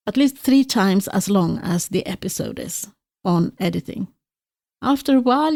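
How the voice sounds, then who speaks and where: A Swedish-accented woman hosting a podcast, talking things over earnestly with a guest. Her voice is gravelly and mellow, and the sound is dry and close. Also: medium pitch, no room sound. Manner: earnest, reflective, frequent restarts. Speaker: podcast host. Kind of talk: interview conversation.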